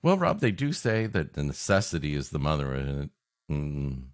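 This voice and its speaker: A man's voice: very deep voice, huge pitch swings, normal speed. A man co-hosting a comedy podcast, improvising dry, rambling mock-earnest riffs. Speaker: podcast co-host. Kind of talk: improvised comic banter.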